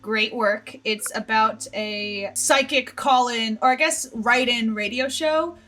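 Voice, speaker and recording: An animated, teasing woman chatting casually as a podcast panelist. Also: a little high, slow. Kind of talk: casual group chat.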